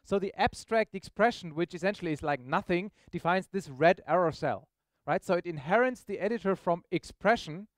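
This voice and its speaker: A man's voice: high voice, no room sound, studio quality. A man giving an informal, explanatory live technical demo to an audience, often checking in with questions. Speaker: software presenter. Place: meetup presentation room, on stage.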